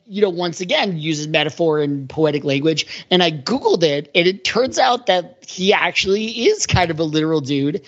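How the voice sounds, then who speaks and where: A man's voice: fairly high pitch, fairly bright tone. A man co-hosting a podcast, talking casually and analytically about pop culture. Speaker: podcast co-host. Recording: slightly dull lo-fi audio.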